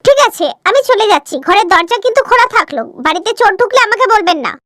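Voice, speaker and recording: A woman's voice: very high-pitched, expressive, quick pace, pushed and bright, dry recording, clean studio sound, exaggerated voice. A woman voicing a brash, curt young city daughter-in-law in a cartoon. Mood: bossy. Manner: curt, ordering.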